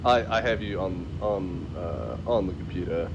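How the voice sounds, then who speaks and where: A man plays a bumbling rookie air traffic controller in a flight sim. His voice is very low and halting, muddled and easily put on the defensive. It comes over muffled voice chat with clear background noise. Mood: muddled, hesitant. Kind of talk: improvised trolling roleplay over radio.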